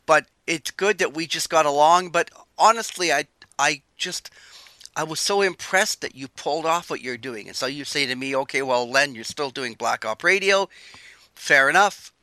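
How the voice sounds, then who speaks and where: An older man hosting a radio show, chatting in a relaxed, rambling way. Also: on the high side, moderate pace, dry recording. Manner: relaxed, chatty, wandering sentences. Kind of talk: casual interview chat.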